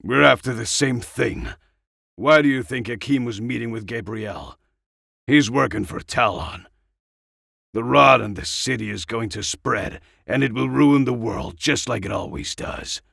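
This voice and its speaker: A man playing a gruff, stubborn older male soldier with an insistent manner in an audiobook story. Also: medium pitch, a little slow, fairly bright tone, no room sound, clean studio sound, full character voice. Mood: heated, resolute.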